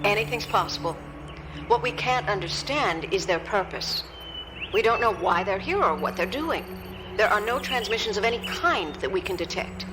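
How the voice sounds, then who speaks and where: An actress playing a composed, analytical scientist who briefs officials in a radio drama, explaining things in a measured way. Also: female voice, medium pitch, animated, noisy background, natural voice. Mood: measured, factual. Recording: music under the voice.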